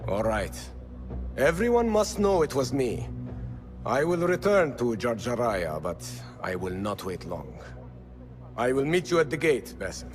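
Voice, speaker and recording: A man's voice: medium pitch, very animated, measured pace. An actor playing a proud, vengeful male rebel leader in a video game, defiant and heated, with music behind him. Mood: grudging. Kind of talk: dramatic video game acting.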